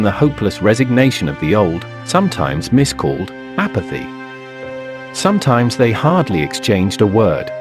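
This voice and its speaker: A man narrating a scripted suspense story in a literary style. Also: medium pitch.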